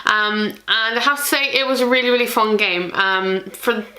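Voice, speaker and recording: A woman's voice: on the high side, moderate pace, pushed and bright, roomy sound, low-quality recording. A woman from Ireland with an Irish accent, hosting a board game channel in a chatty, self-deprecating way with many ums. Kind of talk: chatty solo video to camera.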